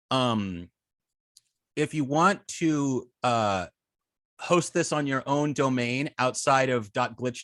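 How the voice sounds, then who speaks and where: Halting male instructor, dry close sound. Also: mid-range voice, a bit bright, dry recording. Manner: halting, hesitant, many long filled pauses and false starts. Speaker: web design instructor. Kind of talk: informal class Q&A, explaining.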